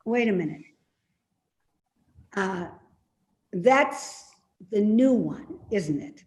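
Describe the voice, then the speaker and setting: A woman playing a sharp-tongued, evasive elderly mother in a readers theater play, with a dry, needling, dismissive delivery. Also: medium pitch, expressive, extremely slow. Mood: prying, needling.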